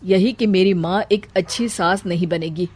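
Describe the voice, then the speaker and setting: A woman's voice: lowish voice, fairly even intonation, normal speed, a little mellow, breathy edge. A woman voicing a caring young grandson with an earnest, respectful manner.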